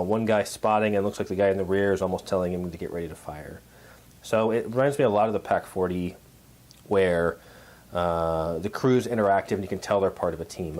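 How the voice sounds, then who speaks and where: A man with a hoarse voice from a cold, casually showing off his painted models, pausing often with filler words. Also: lowish voice, darkish tone. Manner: halting, many uh and um fillers. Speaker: miniature painting hobbyist. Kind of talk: casual show-and-tell vlog.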